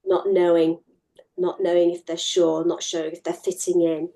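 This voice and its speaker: A woman's voice: fairly low pitch, little pitch movement, normal speed, warm tone, poor audio. A woman who works in mental health, explaining her field steadily during a group discussion.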